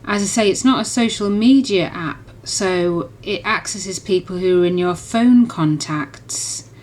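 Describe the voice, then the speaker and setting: A woman's voice: mid-range voice, a little slow, darkish tone. A woman reviewer in a casual, chatty and enthusiastic demonstration, rambling along as she explains. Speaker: app reviewer.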